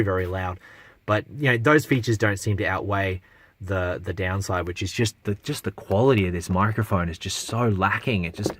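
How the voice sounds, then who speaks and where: A low-pitched man talks through a product in a casual, unscripted way. He is blunt and matter-of-fact, hesitating now and then. The sound is dry, with very little room around the voice. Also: a bit bright, dry recording. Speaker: product reviewer. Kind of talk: casual unscripted review to camera.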